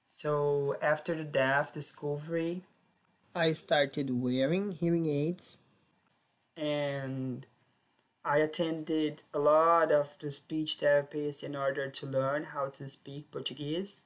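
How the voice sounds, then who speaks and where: A woman telling her own life story in plain, simple sentences with short pauses. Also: sits low, steady tone. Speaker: personal storyteller. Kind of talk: first-person life story.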